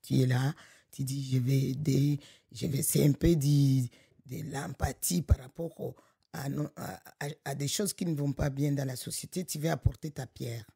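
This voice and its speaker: Woman from Congo, middle-aged, very husky, gravelly, animated and emphatic. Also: a bass voice, moderate pace, dry recording, studio quality. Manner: animated, emphatic, frequent restarts. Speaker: association director. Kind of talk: conversational podcast interview.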